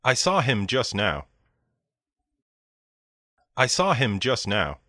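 A man reading short example sentences carefully and clearly for a listening lesson, in a dry studio voice-over. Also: mid-range voice, huge pitch swings, takes it slowly, pushed and bright, no room sound. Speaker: listening practice speaker. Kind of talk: slow read example sentences.

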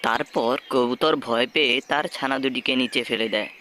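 Man, storybook narrator, bright forceful tone, medium pitch, scripted delivery, light background noise. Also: steady tone, normal speed.

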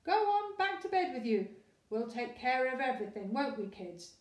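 A woman's voice: high-pitched, big pitch swings. A woman voicing a cheerful, bossy father elephant who takes charge of the family in a storybook. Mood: bossy jolly. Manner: brisk, commanding, jolly. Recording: roomy echo.

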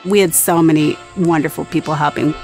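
Woman, middle aged, lowish voice, chatty reflective farm owner interview, soft music behind. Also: fairly even intonation, brisk. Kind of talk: documentary interview.

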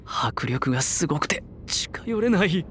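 A man's voice: fairly high pitch, very animated, measured pace. A man playing a kindly, easily flustered young man who talks politely and dramatically in a comedic voice anime. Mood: flustered, dramatic. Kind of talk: Japanese voice-anime comedy acting. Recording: music bed behind the voice.

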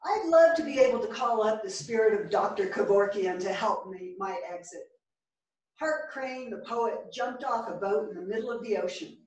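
A woman's voice: medium pitch, expressive, normal speed, darkish tone, close to an everyday voice. An actress as a bookish older woman, echoing theatre. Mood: musing. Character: bookish, thoughtful older woman who loves classics. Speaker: actor in a staged reading. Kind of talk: theatrical staged reading. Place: small theatre stage. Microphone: distant camera. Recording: very echoey theatre, distant microphone.

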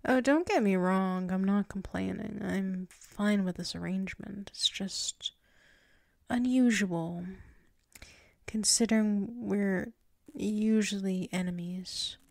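Woman acting a slow, creaky, murmuring werewolf girl. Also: medium pitch, darkish tone, dry recording. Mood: musing, teasing. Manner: halting, murmuring, often dropping to a whisper. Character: flustered, submissive tsundere female werewolf pet. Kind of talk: intimate ASMR roleplay. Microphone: close microphone.

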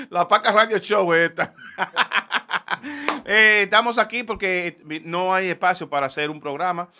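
A Dominican-accented man in his thirties hosts a casual comedic chat, teasing his guest and laughing often. His voice is bright and somewhat high. He talks into a desk mic inside a small clothing shop. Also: measured pace. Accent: Dominican Spanish. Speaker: host. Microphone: desk boom mic.

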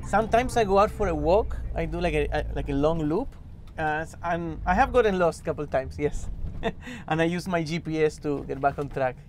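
A man's voice: fairly high pitch, normal speed, darkish tone, slight background noise. A man in his forties from Argentina, chatting casually in an interview, hesitant with lots of fillers and restarts. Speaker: recruiter at a tech company. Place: office building and company shuttle.

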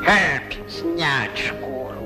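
An actor playing a pompous, commanding boa constrictor who barks orders in an old animated satire. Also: male voice, mid-range voice, very slow. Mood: smug, commanding. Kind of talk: 1940s Soviet animated satire acting. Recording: old film soundtrack with music.

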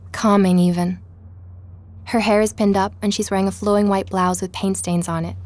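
An actress playing an inquisitive, persuasive woman podcaster in an audio drama, narrating and chatting in a measured, natural way. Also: mid-range voice, darkish tone, natural voice. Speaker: podcast narrator and lead character.

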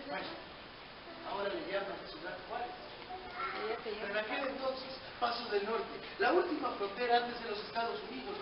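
Brief: man playing a dignified Mexican statesman, declamatory storyteller, higher voice, distant lo-fi camera sound, room noise behind. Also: close to an everyday voice. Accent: Mexican Spanish. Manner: solemn, declamatory storytelling to children. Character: dignified male 19th-century president recounting his life. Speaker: costumed historical reenactor. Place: large echoey room in a historic house. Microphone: far camera, no mic. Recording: distant echoey lo-fi camcorder audio. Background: murmuring children, room ambience.

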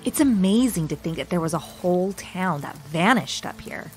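A woman's voice: lowish voice, animated, moderate pace, a little mellow, natural voice, rough recording. A woman playing a sardonic, aloof teenage girl in an audio drama. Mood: sceptical. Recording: music under the voice.

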